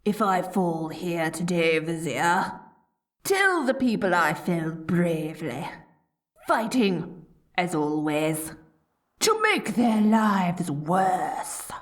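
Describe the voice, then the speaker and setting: A woman's voice: lowish voice, big pitch swings, slow pace, no room sound, studio quality, exaggerated voice. A woman playing a haughty, dorky evil queen in a scripted ASMR roleplay, swinging between grandiose proclamations and flustered stammering. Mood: dramatic, nervous. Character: dorky, theatrical evil queen, haughty yet secretly smitten.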